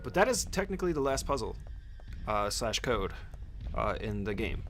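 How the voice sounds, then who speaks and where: A man's voice: fairly low pitch, very animated, normal speed, fairly bright tone, slight background noise. A man doing casual, conversational speedrun tutorial commentary, explaining each step in an informal way.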